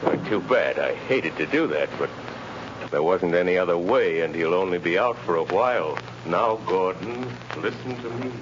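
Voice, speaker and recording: Old radio hero: low, slow, commanding, mysterious; muffled recording. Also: male voice, expressive, background noise. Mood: calm. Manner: deliberate, commanding, solemn. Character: mysterious commanding mind-reading crime fighter posing as guard. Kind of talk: 1930s radio drama acting.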